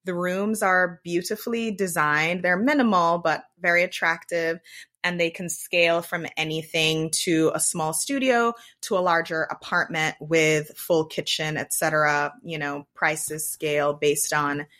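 A woman's voice: on the low side, fairly even intonation, a little slow, a bit bright. A woman from Jamaica with a light Jamaican accent, co-hosting a podcast in casual chat and explaining things at length. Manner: explains at length, laughs often. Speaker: podcast co-host.

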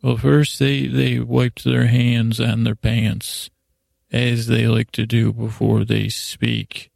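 A man hosting a bedtime-story podcast in a lulling, soothing manner, rambling and hesitant, repeating himself and trailing off in slow meanders. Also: medium pitch, completely flat delivery, darkish tone, no echo. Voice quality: creaky, gravelly. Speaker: podcast host.

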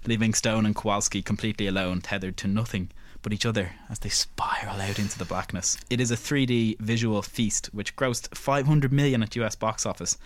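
A man's voice: medium pitch, dry recording. Easygoing male podcast co-host, dry sound. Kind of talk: casual chat and scripted film summary.

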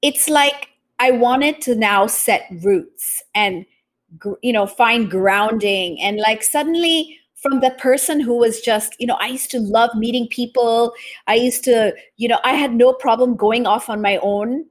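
Female podcast host, from Japan, slightly high pitch, conversational interviewer. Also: a little slow.

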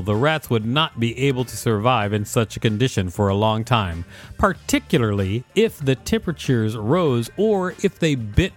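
A man co-host reading an encyclopedia article aloud, with casual asides here and there. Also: mid-range voice.

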